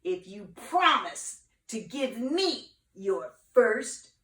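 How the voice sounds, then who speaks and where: A woman's voice: on the high side, very animated, sharp tone, full character voice. A wicked storybook witch, acted out by a woman, scolding and commanding. She draws her words out with menace. The sound is echoey, picked up at a distance from the mic. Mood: sly bargaining. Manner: drawn-out, commanding delivery.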